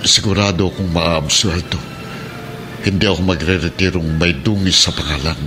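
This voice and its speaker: A man acting a middle-aged father in a Tagalog radio drama, earnest and gently pleading. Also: low-pitched, steady tone, normal speed, natural voice. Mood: earnest, reassuring. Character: remorseful, earnest father, police officer near retirement. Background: dramatic music.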